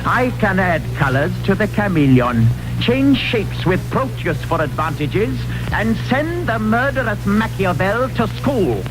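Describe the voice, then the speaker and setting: A man's voice: sits high, sing-song, measured pace, hardly any pauses, music in the background, rough recording. A man acting a scheming, murderous royal usurper in classical radio theatre, theatrical and declamatory. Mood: gleeful menace.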